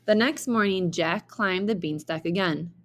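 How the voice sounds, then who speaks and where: A woman reading a children's story aloud like a teacher, with expressive, deliberate narration. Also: fairly low pitch.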